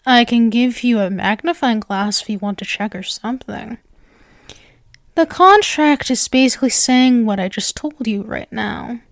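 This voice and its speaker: A woman's voice: a little high, creaky, dry recording, clean studio sound. A woman voicing a sweet, possessive yandere witch in an ASMR roleplay, coy and rambling, speaking intimately to the listener. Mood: coaxing, eager. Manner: coy, halting, rambling, self-assured. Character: sweet, clingy, possessive yandere witch, playfully smug and nervous.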